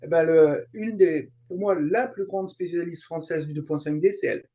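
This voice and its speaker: A man's voice: fairly high pitch, moderate pace, dark tone. A man speaking as an interview guest, explaining things in casual chat and repeating words for emphasis. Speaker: interview guest, translator and YouTuber.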